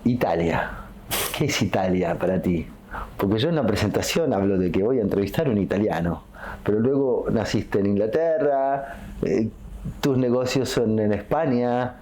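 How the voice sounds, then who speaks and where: Host: man, lowish voice, curious and encouraging interviewer. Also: expressive, moderate pace, warm tone. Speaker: podcast host. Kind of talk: casual interview.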